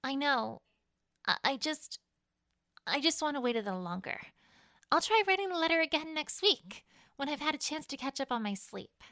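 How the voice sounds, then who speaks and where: A woman voicing an earnest, anxious young female unicorn in an expressive audiobook performance. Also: high voice, normal speed, dry recording, clean studio sound, heavily acted. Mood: worried. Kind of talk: dramatized audiobook character acting.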